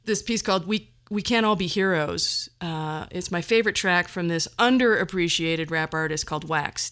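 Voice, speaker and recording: A woman's voice: on the low side, bright, forceful tone. A middle-aged woman hosting a podcast, delivering a personal monologue in a wry, self-deprecating and theatrically emphatic way. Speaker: podcast host.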